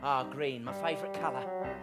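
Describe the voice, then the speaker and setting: A woman's voice: rumbling low, moderate pace, over loud music. A woman playing a vain, bullying stepsister in an improvised pantomime, with a haughty, mocking, theatrical delivery. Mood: preening.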